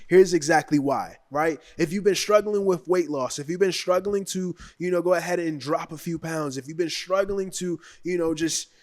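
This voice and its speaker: A man hosting a podcast, giving an energetic hype introduction and often adding "right?". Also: a little high. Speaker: podcast host.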